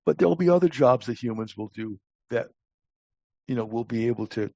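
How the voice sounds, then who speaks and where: A man in his sixties speaking casually as an expert guest in an interview, halting but opinionated and plain-spoken. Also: mid-range voice, animated, mellow. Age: 60s. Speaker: guest expert, talent acquisition advisor. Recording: muffled lo-fi, roomy sound.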